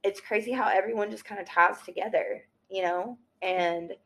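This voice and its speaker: A woman's voice: mid-range voice, moderate pace, creaky, rough recording. A woman, a thrift and sewing guest talking casually with lots of "like" and "yo.". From Tennessee. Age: 30s. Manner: slangy, animated, peppered with "like" and "yo". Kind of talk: casual podcast conversation.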